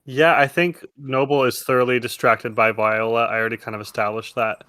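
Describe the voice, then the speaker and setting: A man's voice: medium pitch, steady tone, a little fast, pushed and bright, no room sound. A man acting as game master, narrating a tabletop role-playing game and talking its players through the rules.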